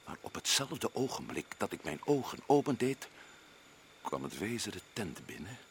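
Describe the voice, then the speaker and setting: A man's voice: on the low side, very animated, moderate pace, vocal fry, slight background noise. A man playing a stern expedition leader in a radio play, questioning and giving curt orders. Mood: reading aloud. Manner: probing, commanding.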